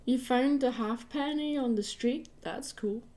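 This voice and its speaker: A woman's voice: sits high, unhurried, warm tone. A woman chatting casually and playfully while livestreaming her artwork. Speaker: digital artist livestreaming.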